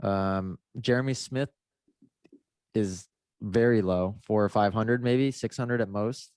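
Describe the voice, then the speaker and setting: Man, stuffy nose, lowish voice, dry close sound, rambling casual talk. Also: slow, darkish tone, smooth, no room sound. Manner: rambling, laid-back, lots of fillers. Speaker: motocross racer. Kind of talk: casual podcast chat.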